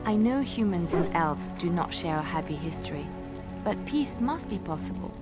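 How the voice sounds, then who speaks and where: An actress playing a gentle, earnest young minstrel in a video game, with a French accent and a polite, careful manner. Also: female voice, lowish voice, lively intonation, moderate pace, natural voice. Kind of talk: video game character acting. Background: music.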